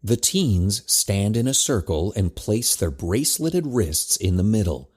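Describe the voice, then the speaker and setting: A man's voice: on the low side, sing-song, a little slow, darkish tone, husky. A man reading scripted narration for an audio drama, describing the action as narrator.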